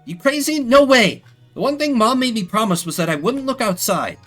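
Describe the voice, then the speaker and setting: A man voicing a cheeky, tough, energetic young girl in a video game, speaking in blunt, childlike phrasing. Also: very high-pitched, normal speed, faint music behind, voice pushed up, full character voice. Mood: restless, defiant.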